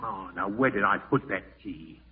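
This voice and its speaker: A callous, irritable schemer in an old-time radio horror play. His low voice swings dramatically in pitch as he mutters and snaps. The broadcast is tinny, with some hiss. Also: animated, normal speed. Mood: irritable, evasive. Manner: muttering to himself, melodramatic, snappish. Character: scheming, callous male relative plotting murder, panicking and irritable. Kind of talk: 1940s radio horror acting.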